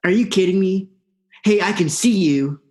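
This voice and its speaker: A woman's voice: mid-range voice, normal speed, darkish tone, close to an everyday voice. A woman playing a blunt, incredulous talking eel in a radio play.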